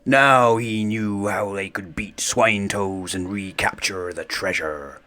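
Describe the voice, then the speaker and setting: A man reading a children's story aloud in a theatrical, deliberate way. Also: on the low side, steady tone, pushed and bright. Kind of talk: dramatic children's story narration.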